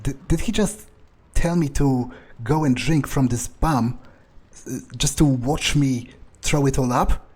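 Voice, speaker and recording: A man playing a haughty, irritable fledgling vampire in an in-character video game playthrough, grumbling in a halting, self-important way. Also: mid-range voice, a little mellow, slightly rough. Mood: suspicious, annoyed. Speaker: gamer roleplaying his character. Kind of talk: in-character let's play monologue.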